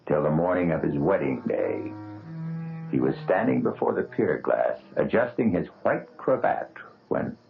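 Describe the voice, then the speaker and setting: A man narrating a radio mystery in a deep, gravelly voice with lively, expressive pitch. His delivery is measured and ominous. The sound is thin and phone-like, with clear music beneath. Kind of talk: scripted dramatic narration.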